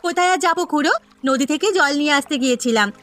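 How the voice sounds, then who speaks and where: A woman's voice: high-pitched, racing, a bit bright. A woman voicing a poor, earnest and deferential village girl, an elder sister in a cartoon story. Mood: polite.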